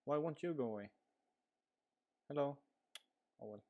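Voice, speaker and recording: A man's voice: a little high, a little slow, muffled recording. A man with a Swedish accent giving casual live commentary on a card game stream, rambling with lots of fillers. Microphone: headset.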